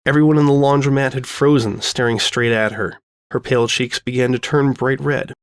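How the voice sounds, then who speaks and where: A man's voice: medium pitch, fairly even intonation, moderate pace, no echo, clean studio sound. A man reading fan fiction as scripted story narration.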